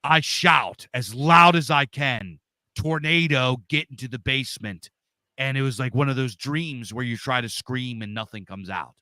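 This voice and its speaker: Loud, forceful man. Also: mid-range voice, moderate pace, no room sound. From Pennsylvania, USA. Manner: loud, big-voiced talker. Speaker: interview guest. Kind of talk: casual storytelling.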